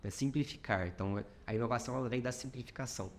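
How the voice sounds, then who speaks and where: A man's voice: mid-range voice, fast talker, slight background noise. A man with a Minas Gerais accent, a company founder being interviewed on a podcast, speaking reflectively with many fillers and short clauses. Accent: Minas Gerais Brazilian Portuguese. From Brazil.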